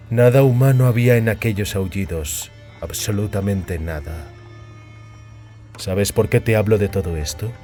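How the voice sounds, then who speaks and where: A man voicing a sadistic half-elf henchman in an audiobook, deliberate, cold and taunting in his menace. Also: lowish voice, mellow, natural voice. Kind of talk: audiobook character reading.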